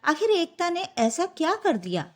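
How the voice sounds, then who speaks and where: A woman's voice: very high voice, very animated, normal speed, darkish tone, pitched above the performer's own voice. A woman voicing an anxious, polite middle-aged father with urgent questions in a story. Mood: concerned, insistent.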